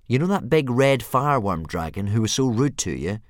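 A man's voice: medium pitch, big pitch swings, fairly quick, dry recording, clean studio sound, pitched above the performer's own voice, lightly acted. A man voicing a frustrated boy trying to train his stubborn dragon. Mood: reasoning. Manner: pleading, exasperated.

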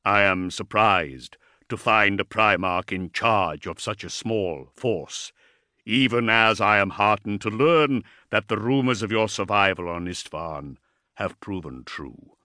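A man voicing a huge, courteous war machine in an audiobook, intoning formally in a deep bass. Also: bright, forceful tone, dry recording, studio quality. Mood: solemn, courteous. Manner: slow, solemn intoning.

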